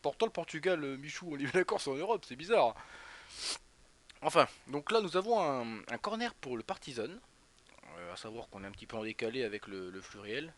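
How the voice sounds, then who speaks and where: Young guy from northeast france, chatty rambly streamer, talks to his chat while calling a match, mid-pitched. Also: big pitch swings, a little fast. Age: young adult. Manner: rambling, chatty, talks straight to viewers by name. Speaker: Twitch streamer. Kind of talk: casual live football commentary while chatting with viewers.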